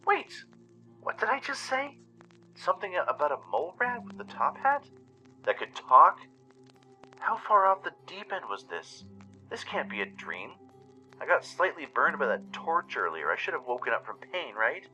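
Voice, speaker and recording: Casting: man as young pony survivor, raised pitch, very bright and tense, anxious, earnest radio play delivery. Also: very animated, normal speed, soft background music, heavily acted, low-quality recording. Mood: bewildered. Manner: anxious, dramatic, questioning. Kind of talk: first-person audio drama narration.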